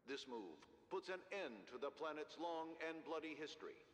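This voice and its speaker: Pompous game newsreader, formal, highish and lively, long reverb. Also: male voice, normal speed. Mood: proud, official. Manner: declamatory, official. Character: formal, pompous male news announcer.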